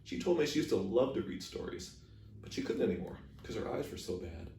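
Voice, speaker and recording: A man's voice: fairly low pitch, animated, a little fast, a little mellow, a little hiss or hum. A man reading aloud as a library storyteller, in a storybook narration style. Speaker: library reader. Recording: echoey room, distant microphone.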